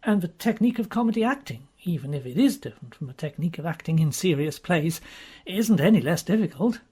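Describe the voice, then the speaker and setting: An awkward, earnest young man in a storybook, stiff and a little dogmatic in how he speaks, voiced by a woman reading aloud. The voice is husky and lively in pitch. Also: fast.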